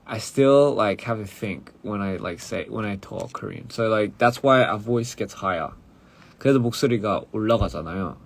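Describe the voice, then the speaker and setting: Low, gravelly-voiced young man, rapid halting. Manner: halting, searching for words with many restarts. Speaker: musician. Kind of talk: casual livestream chat. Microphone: phone livestream mic.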